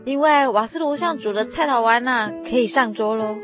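A woman's voice: fairly high pitch, normal speed, music in the background, lightly acted. An actress playing an affectionate, indulgent grandmother in a radio drama. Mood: hospitable. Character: kindly, doting, devout grandmother at New Year.

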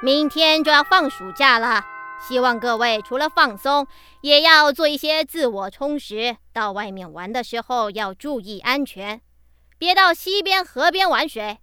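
Schoolteacher: female, stern but caring, nagging, bright high voice, children's audio drama with music. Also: expressive, unhurried, smooth, dry recording, heavily acted. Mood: instructive.

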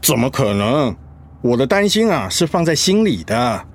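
An actor playing a devoted, worried husband in a radio drama, earnest, affectionate and soothing. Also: male voice, mid-range voice, very animated, normal speed. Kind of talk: Mandarin radio drama acting.

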